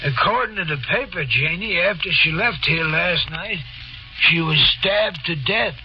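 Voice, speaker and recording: An actor playing a gruff, worried older carousel worker with folksy speech, in 1940s radio acting. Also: male voice, high voice, dull audio, a little hiss or hum, natural voice. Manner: folksy, slow, says ain't.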